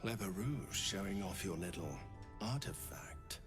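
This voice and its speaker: An actor playing a sly, menacing traitor soldier in a video game cutscene, speaking in a smooth, conspiratorial way. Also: male voice, deep voice, lively intonation, measured pace. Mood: smug, conspiratorial. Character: sly male traitor soldier serving a dark general. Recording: music behind the voice.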